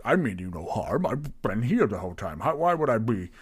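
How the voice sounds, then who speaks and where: A man's voice: on the low side, big pitch swings, fairly quick, husky. A man voicing a large, nervous, friendly half-elven tavern keeper who stammers politely in a tabletop game. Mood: nervous, defensive.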